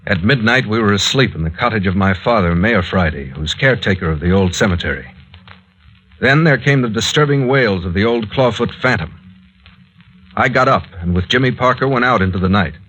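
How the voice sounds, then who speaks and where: 1940s radio drama, commanding captain, American accent, lowish pitch, hard-edged tone, urgent and curt. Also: male voice, lively intonation, brisk, fairly bright tone, husky, dull audio. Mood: grave recounting. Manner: terse, bossy, urgent. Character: commanding, fearless male adventurer captain. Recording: vintage 1940s radio recording.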